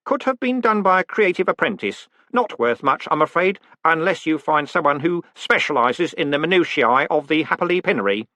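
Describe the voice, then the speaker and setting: A man's voice: on the high side, lively intonation, brisk, hardly any pauses, bright, forceful tone, no echo, voice pushed up. A man voicing an earnest, nerdy young man who is obsessed with collecting pins, in a lifted, eager voice.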